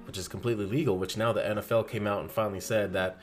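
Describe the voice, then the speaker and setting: Low-voiced man explaining earnestly. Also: very fast, faint music behind. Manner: earnest, steady, explains at length. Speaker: podcast co-host. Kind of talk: casual podcast chat.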